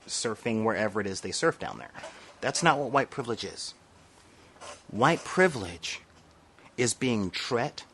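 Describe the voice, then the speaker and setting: Middle-aged American man, medium pitch, earnest and emphatic, off-the-cuff opinion vlog delivery with repeated phrases for stress. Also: fairly bright tone. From South Central Los Angeles, United States. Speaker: vlogger. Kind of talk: unscripted opinion rant to camera.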